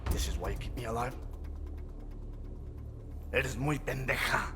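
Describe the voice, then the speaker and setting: A man's voice: medium pitch, unhurried, over loud music, lightly acted. An actor playing a defiant, vengeful cartel man with a Mexican accent, contemptuous and mixing Spanish into his English in a game cutscene. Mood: bitter, defiant. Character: defiant, vengeful cartel enforcer, proud and foul-mouthed. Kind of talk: video game cutscene acting.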